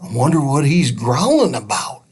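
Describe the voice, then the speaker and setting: A man's voice: medium pitch, big pitch swings, normal speed, darkish tone, breathy edge. A man voicing a curious young boy in a children's storybook, wondering and questioning. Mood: puzzled, curious.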